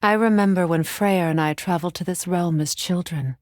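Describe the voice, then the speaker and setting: An actress playing a solemn, regal Norse goddess warrior in a video game, speaking in a measured, grave, formal manner. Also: female voice, on the low side, a little mellow, dry recording, studio quality, lightly acted. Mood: solemn, reflective. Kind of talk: dramatic video game voice acting.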